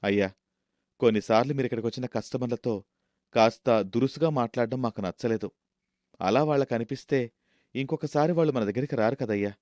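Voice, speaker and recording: A man acts out an honest employee in a moral story, deferential and a little hesitant. His voice is mid-pitched, recorded dry. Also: a little fast, pauses often, a little mellow, dry recording, close to an everyday voice. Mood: respectful. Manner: respectful, hesitant.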